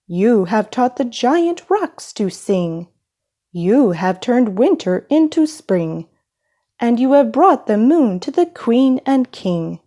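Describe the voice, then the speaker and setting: Woman as measured, solemn storybook king. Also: on the high side, sing-song, dark tone, full character voice. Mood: proud, solemn.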